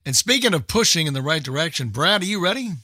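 A man's voice: on the high side, very animated, quick pace, sharp tone, no room sound. A man hosting a radio show in casual panel chat, with dry, bantering remarks.

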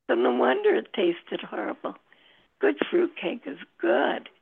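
A woman's voice: fairly high pitch, big pitch swings, measured pace, creaky, husky. A woman chatting casually over a thin, muffled connection. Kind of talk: casual chat.

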